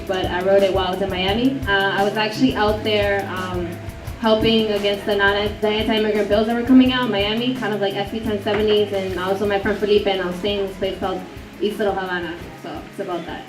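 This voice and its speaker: A woman's voice: mid-range voice, steady tone, quick pace, music in the background. A woman in her twenties earnestly reciting a personal poem to an audience, mixing in Spanish words. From Honduran-born, raised in the United States. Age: 20s. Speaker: spoken word performer. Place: indoor fundraiser event room. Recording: echoey room, distant dull mic, noisy.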